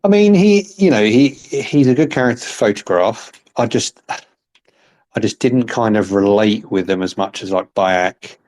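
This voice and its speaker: A man's voice: on the low side, expressive, a little slow, a little mellow. A man hosting a casual interview, playful and teasing his guest. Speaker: interviewer host.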